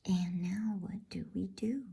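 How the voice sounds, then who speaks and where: A woman whispering softly and soothingly in an unhurried ASMR style, as the host of a bedtime reading. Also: mid-range voice. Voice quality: breathy whisper.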